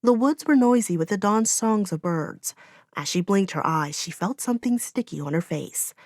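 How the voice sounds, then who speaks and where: A woman's voice: mid-range voice, lively intonation, moderate pace, darkish tone, dry recording, clean studio sound. A woman reading a fantasy audiobook as narrator, in dramatic, solemn storytelling.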